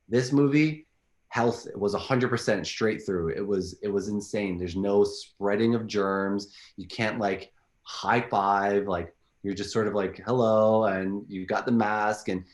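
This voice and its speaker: A very low-pitched man talks loosely as an interview guest, circling back with lots of "like" and restarts. His voice rings in a roomy, echoing space. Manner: rambling, filler-heavy, frequent restarts. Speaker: filmmaker guest. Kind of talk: casual interview answers.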